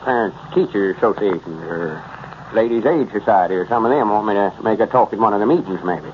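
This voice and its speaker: A man's voice: lowish voice, brisk, natural voice. An actor playing a self-important, easily indignant old country man with a rural Southern accent, folksy and pompous, in a 1930s radio comedy. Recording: muffled, noisy 1930s radio recording.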